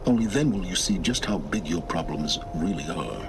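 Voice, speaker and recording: An actor playing an aloof, impatient middle-aged scholar of ruins in a video game, measured and condescending. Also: male voice, deep voice, lively intonation, noisy background. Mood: grave, explaining. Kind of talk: dramatic video game acting.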